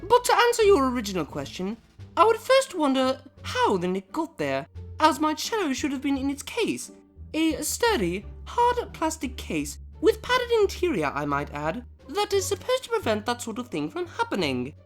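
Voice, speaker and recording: A woman's voice: sits high, wildly swooping pitch, moderate pace, faint music behind. A woman playing a prim, formal female pony cellist with precise, drily menacing speech in a scripted comedy audio drama. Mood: icy composure.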